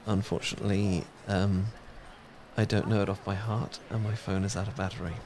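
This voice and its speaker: A man's voice: fairly low pitch, flat delivery, fairly quick, noisy background. A young British man in a romantic audio roleplay, talking shyly with many fillers and restarts. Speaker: ASMR audio roleplay performer.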